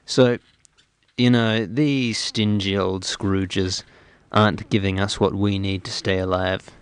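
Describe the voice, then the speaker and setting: A man hosting comic radio banter, his voice hoarse from illness. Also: sits low, fairly even intonation, slow, no room sound. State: hoarse, ill. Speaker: radio show host. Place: radio studio.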